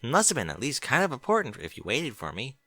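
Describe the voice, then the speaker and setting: A man's voice: medium pitch, wildly swooping pitch, quick pace, a bit bright, dry recording, clean studio sound, full character voice. A man voicing a cheerful, studious young unicorn mare in a fan fiction audiobook, speaking politely. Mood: friendly. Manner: polite, bookish.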